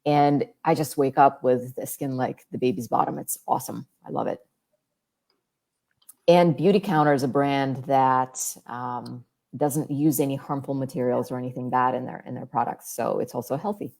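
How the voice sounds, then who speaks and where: A woman guest with a deep, calm, soothing voice, chatting in an easygoing way about her skincare routine. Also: moderate pace, gravelly. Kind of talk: casual chat about skincare.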